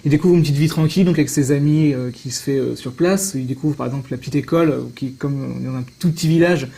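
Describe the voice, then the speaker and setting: A man's voice: on the high side, quick pace, vocal fry, mellow, slightly rough. A man speaking as an interview guest, explaining things in casual conversation.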